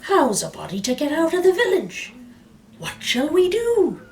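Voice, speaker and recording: A woman's voice: high voice, wildly swooping pitch, moderate pace, darkish tone, reverberant, voice pushed up, full character voice. A woman voicing flustered, curious villagers in a storybook, exclaiming and questioning. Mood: distressed. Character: alarmed, curious village folk pestering for answers.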